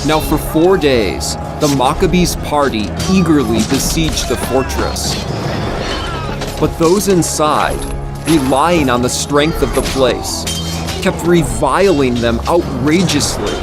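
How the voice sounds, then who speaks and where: A man narrating dramatized scripture in a solemn, measured, dramatic way. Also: a little high, expressive. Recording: loud music under the voice.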